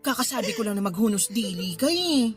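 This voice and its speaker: A woman's voice: mid-range voice, big pitch swings, fairly quick, faint music behind, close to an everyday voice. An actress playing an exasperated young woman with a Filipino accent in a radio drama, scolding her friend in sarcastic slang. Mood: sarcastic, appalled.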